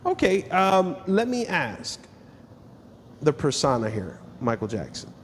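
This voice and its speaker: A man's voice: a little high, wildly swooping pitch, unhurried. A man hosting a podcast, talking casually with a guest, laughing a lot and often restarting his sentences. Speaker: podcast host. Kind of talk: casual interview chat. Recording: long reverb, faint ambient noise.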